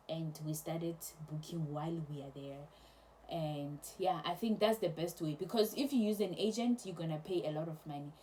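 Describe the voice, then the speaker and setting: A young woman casually telling a travel story to camera, chatty and animated, with many restarts. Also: on the low side, slight background noise. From South Africa. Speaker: travel vlogger.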